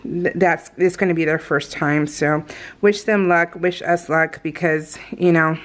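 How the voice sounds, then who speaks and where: A woman's voice: low voice, steady tone, measured pace. A woman craft vlogger chatting casually in a giggly, meandering way as she goes through her scrapbook pages. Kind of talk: casual rambling chat over scrapbook pages.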